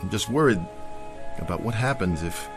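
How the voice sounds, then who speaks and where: A man acting as a kindly, gentle stand-in for Santa Claus in a radio drama. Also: lowish voice, expressive, moderate pace, over music. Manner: gentle, warm-hearted.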